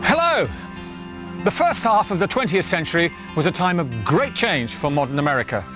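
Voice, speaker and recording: A man presents an art lesson out on a windswept coast, his voice slightly high and very animated as he explains with drawn-out emphasis. Faint music runs underneath. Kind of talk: presenting to camera outdoors.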